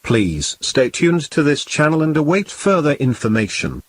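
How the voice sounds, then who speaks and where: A man playing a calm, grave emergency broadcast announcer, reading in a formal, measured and solemn way. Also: fairly high pitch. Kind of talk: scripted emergency broadcast narration.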